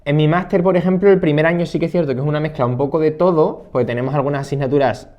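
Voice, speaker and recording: A man's voice: on the high side, steady tone, very fast, highs cut off. Hesitant young man, casual chat. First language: Spanish. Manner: hesitant, many fillers and restarts. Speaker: interpreting master's student.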